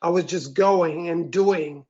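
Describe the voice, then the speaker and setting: A man from Switzerland, a guest on an interview, telling his personal story in an earnest, reflective and soft-spoken way. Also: a little high, slow. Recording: dull, lo-fi sound.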